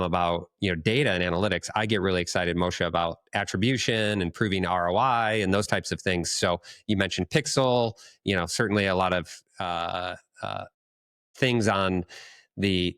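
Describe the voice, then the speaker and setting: Deep voiced guy hosting a podcast, dry sound, kinda halting as he thinks out loud. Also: no echo. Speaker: podcast host. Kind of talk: conversational podcast discussion.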